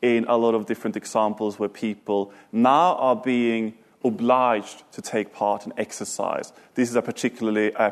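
A man from Sweden giving a talk, then answering questions in a panel discussion with measured, thoughtful phrasing and some hesitant restarts. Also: fairly low pitch. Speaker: author and speaker.

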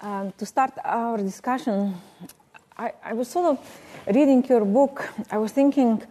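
Female moderator from Yugoslavia, warm mellow tone, halting questions with restarts. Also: fairly high pitch. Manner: hesitant, searching, with fillers and restarts. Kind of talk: panel discussion question.

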